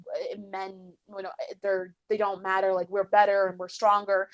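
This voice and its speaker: Woman, mid-range pitch, casual opinionated talk, halting, repeated restarts. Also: monotone, highs cut off. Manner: halting, repeats words and restarts sentences often.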